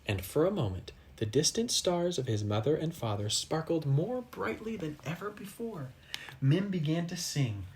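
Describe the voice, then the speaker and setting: A person reading a children's storybook aloud expressively, with dramatic pauses and asides to young listeners. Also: medium pitch, animated, a little mellow, a little hiss or hum. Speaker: storybook reader.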